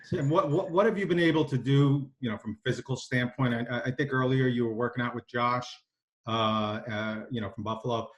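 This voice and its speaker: A man, a sports reporter asking a question in a halting way with rephrasing. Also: mid-range voice, low-quality recording. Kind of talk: press conference question.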